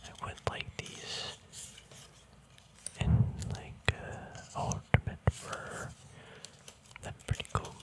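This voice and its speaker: A man whispering casual ASMR to viewers in a breathy, unvoiced voice, rambling and thinking aloud without hurry, very close to the mic. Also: takes it slowly, pauses often. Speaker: ASMR host. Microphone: mic very close to the mouth and nose.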